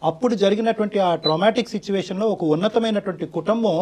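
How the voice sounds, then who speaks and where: A man's voice: sits high, very fast, darkish tone. A man giving earnest, emphatic counselling advice at length on a talk show, mixing in English phrases. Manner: emphatic, long-winded, mixes in English phrases.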